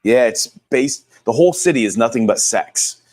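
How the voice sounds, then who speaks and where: A man's voice: mid-range voice, lively intonation, normal speed, darkish tone, dry recording. A man chatting casually on a stream, crude and jokey, laughing often. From United States. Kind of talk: casual chat.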